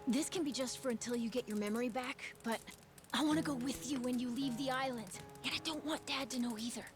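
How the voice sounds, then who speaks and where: A woman's voice: on the high side, a little fast, natural voice. A woman voicing a plucky, curious island child in a video game, offhand and earnest with a youthful, casual delivery. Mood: eager, wistful. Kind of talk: video game cutscene acting. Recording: music clearly behind the dialogue.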